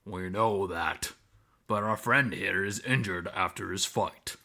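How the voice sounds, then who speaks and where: A man voices a protective wolverine from a visual novel. The voice is low and tense. He keeps a measured manner, gruff anger held tightly in check. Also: pushed and bright, exaggerated voice. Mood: tense restraint. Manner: measured, restrained.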